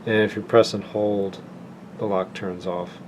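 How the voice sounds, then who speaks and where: Casting: man with a fairly low voice, casual hands-on reviewer, unpolished home recording with clear background hum. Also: a little slow. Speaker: product reviewer. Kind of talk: casual product demonstration. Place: indoors, home tabletop recording. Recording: clear steady air-conditioning room noise.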